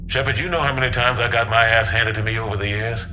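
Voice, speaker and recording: A man playing a seasoned, gruff older admiral with a blunt, frank manner in a video game. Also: mid-range voice, steady tone, quick pace, bright, forceful tone, slight background noise, lightly acted. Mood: frank, consoling. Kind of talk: dramatic video game acting.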